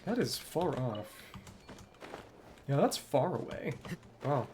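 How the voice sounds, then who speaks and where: A man's voice: medium pitch, big pitch swings, slow pace, mellow, a little hiss or hum. A man giving casual let's-play commentary on a video game, thinking aloud as he plays. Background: game music.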